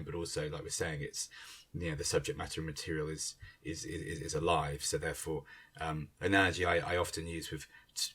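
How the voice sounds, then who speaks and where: A man's voice: extremely low pitch, a bit bright, slightly rough, distant mic. A man, a theatre director, reflecting on creative work in a hesitant, circling, thoughtful way.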